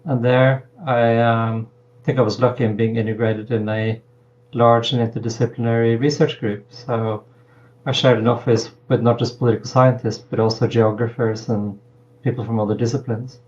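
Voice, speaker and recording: A middle-aged man from Norway, a political science professor, answering interview questions in a measured, hesitant way with many pauses. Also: mid-range voice, little pitch movement, a little mellow, lo-fi sound. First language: Norwegian. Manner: measured, hesitant with frequent ums.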